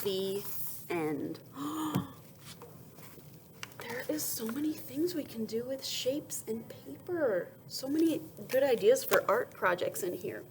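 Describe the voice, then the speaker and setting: A woman's voice: high voice, noisy background. A woman reading a picture book aloud to young children like a teacher, expressively, with questions and asides. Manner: expressive storytelling, pausing to ask questions and answer softly.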